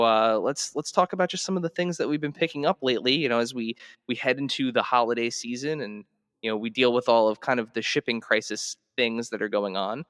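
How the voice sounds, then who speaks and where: A man co-hosting a podcast in casual chat, speaking haltingly and often repeating his words. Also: medium pitch, a bit bright, clean tone, dry recording, clean studio sound. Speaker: podcast co-host.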